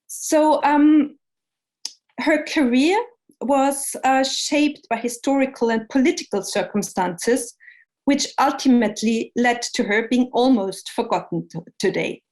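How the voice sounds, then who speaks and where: A woman presenting an academic seminar lecture, speaking in a measured way with frequent pauses and hesitations. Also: on the high side, a little mellow, poor audio.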